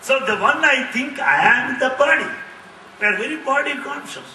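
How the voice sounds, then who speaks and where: A middle-aged man answering audience questions in a lively talk, repeating key phrases and laughing often. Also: extremely high pitch, a little fast. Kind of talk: lively lecture with jokes. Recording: echoey hall, distant mic, faint hiss.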